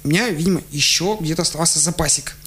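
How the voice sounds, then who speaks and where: A man, a guest on a radio show, telling childhood stories in a relaxed way, laughing often and restarting his sentences. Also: a little high, racing. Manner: chatty, laughs often, many fillers and restarts. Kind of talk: relaxed radio interview storytelling. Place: radio studio. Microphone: studio microphone.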